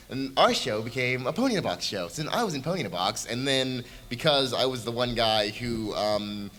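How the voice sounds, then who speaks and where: A man's voice: medium pitch, fairly bright tone. A young man moderating a convention panel in a casual, jokey way. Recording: faint room noise.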